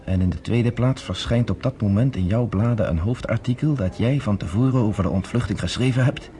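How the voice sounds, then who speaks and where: Male actor as confident resistance leader, low voice, courteous, formal, measured, persuasive, radio play. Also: dark tone, lightly acted. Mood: calm, scheming. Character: confident, persuasive underground leader, former army captain, courteous.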